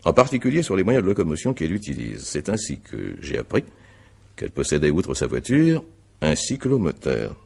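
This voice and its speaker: Stern old-school radio drama judge, super low husky voice, formal and deliberate questioning. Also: male voice, sing-song, a little mellow, full character voice. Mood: probing, methodical. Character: stern, methodical male examining magistrate. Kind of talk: French radio mystery drama acting.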